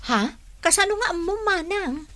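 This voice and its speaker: An actress playing a sympathetic, generous younger sister-in-law in an Ilocano radio drama, with an Ilocano accent and a concerned, questioning manner. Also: female voice, extremely high pitch, lively intonation, moderate pace, crowd noise. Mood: curious.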